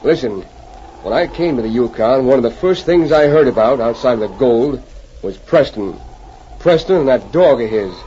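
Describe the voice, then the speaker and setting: A man's voice: mid-range voice, expressive, normal speed, mellow, close to an everyday voice. An actor playing a wary, uneasy outlaw henchman in a 1940s radio adventure, talking in terse, folksy tough-guy lines. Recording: muffled, noisy 1940s radio recording.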